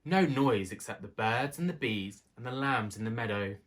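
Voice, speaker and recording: A man's voice: mid-range voice, animated, moderate pace, close to an everyday voice. A man voicing a timid, polite male country mouse in a storybook, flustered and eager to please. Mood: earnest, homesick. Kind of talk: storybook character voice.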